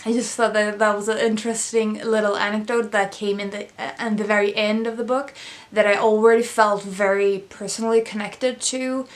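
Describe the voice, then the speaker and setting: Young woman, Swedish native, relaxed book-vlog chat, hesitant with plenty of fillers. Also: medium pitch, moderate pace. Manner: thoughtful, many fillers and restarts. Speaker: book vlogger. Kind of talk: casual book review chat.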